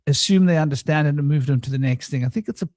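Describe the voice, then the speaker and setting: Man, host, droning voice, mellow tone, relaxed rambling chat, dry close recording. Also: on the high side, fast talker, dry recording. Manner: rambling, relaxed, many fillers. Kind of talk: casual spiritual round-table chat. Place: home studio.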